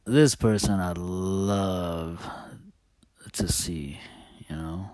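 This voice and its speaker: A man talking casually as a host, telling personal stories in a halting, hesitant, confiding way. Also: low voice, steady tone, darkish tone, no echo.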